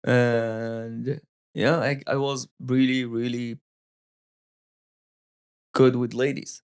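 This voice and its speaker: A Moroccan-accented man in his thirties tells stories from his youth in unhurried, halting English, pausing to hunt for words. His voice sits at a middle pitch with a gravelly, fry-edged texture. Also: little pitch movement. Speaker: podcast guest. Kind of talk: casual storytelling interview.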